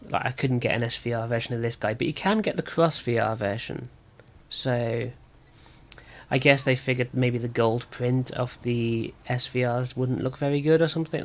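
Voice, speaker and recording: A man with a sore throat and slightly rough voice, thinking aloud as he gives casual commentary on a card game. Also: mid-range voice. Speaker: gaming video host.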